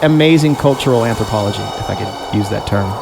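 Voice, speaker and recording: A man's voice: lowish voice, fast talker, noisy background. A man bantering casually as a podcast guest, riffing in mock-serious bits and repeating phrases.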